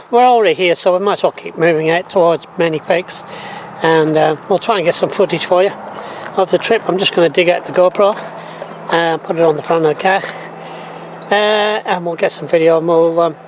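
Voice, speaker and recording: A man chatting hesitantly to camera from a parked minibus by the roadside, with many fillers. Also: sits high. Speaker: vlog host. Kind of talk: casual travel vlog talk to camera. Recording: noisy roadside vehicle recording. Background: humming battery charger.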